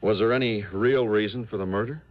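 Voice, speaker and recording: A man playing a serious, brisk insurance office manager in a 1950s radio drama. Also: fairly low pitch, natural voice. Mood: serious, businesslike. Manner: brisk, earnest. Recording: muffled vintage radio recording.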